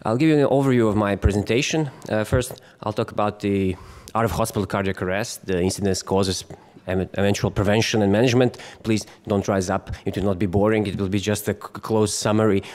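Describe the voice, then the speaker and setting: A man with a Croatian accent lectures at a medical conference with a fairly low voice. He halts often, filling gaps with "uh" and restarting phrases, and now and then jokes with the audience. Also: fairly even intonation, a little fast, hardly any pauses, darkish tone. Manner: hesitant, many fillers and restarts, jokes with the audience. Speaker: physician lecturer. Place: conference hall.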